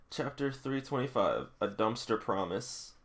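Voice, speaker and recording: A man with a mid-pitched voice reads along and reacts as he goes. His delivery is casual and conversational, breaking into emotional fan exclamations between stretches of reading. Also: lively intonation, slow pace, a bit bright. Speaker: reader reacting to a manga.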